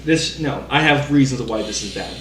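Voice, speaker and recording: A man's voice: mid-range voice, animated, a little fast, a bit bright. A man co-hosting a casual movie podcast, ranting in an exasperated, emphatic and sweary way. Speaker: podcast co-host. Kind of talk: casual panel rant about movies. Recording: roomy echo, slight background noise.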